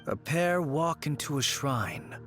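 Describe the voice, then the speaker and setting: A man playing a serene, wistful and cryptic shrine dweller in a video game, measured and poetic. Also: on the high side, lively intonation, fairly bright tone, faint music behind. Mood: wry.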